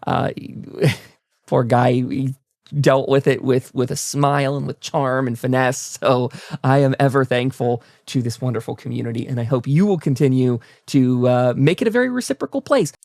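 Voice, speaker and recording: A man's voice: medium pitch, normal speed, gravelly, a little mellow, no room sound, clean studio sound. A man hosting a talk show, chatting in a casual, rambling podcast style as he talks to his audience. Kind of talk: casual podcast outro, plugging websites and upcoming episodes.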